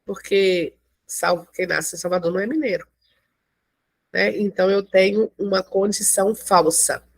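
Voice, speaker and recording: Creaky-voiced woman teaching math. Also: medium pitch, no echo. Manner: explains slowly with frequent check-ins. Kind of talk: classroom lecture.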